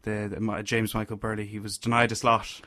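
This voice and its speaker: A man's voice: lowish voice, flat delivery, fairly quick, bright, forceful tone, dry recording. A man hosting an interview, speaking in a conversational way. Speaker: interviewer, host.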